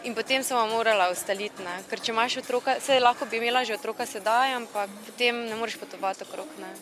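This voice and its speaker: A Slovenian woman in her thirties, a dancer and choreographer, answering interview questions in a quick, lively way. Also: on the high side. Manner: quick, lively, full of fillers. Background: music playing.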